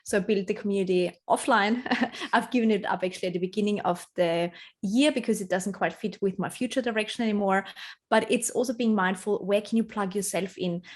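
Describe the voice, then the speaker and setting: Woman guest, english not her first language, earnest and reflective, mid-pitch, laughs a lot. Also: brisk, a little mellow. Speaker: interview guest, branding strategist. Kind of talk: conversational interview answers.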